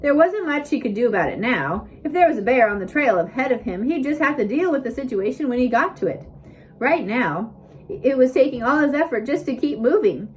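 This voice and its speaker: A woman reading a scripted story aloud as an audiobook narrator, with expressive emphasis and dramatic pauses. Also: high voice, a little hiss or hum. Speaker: audiobook reader.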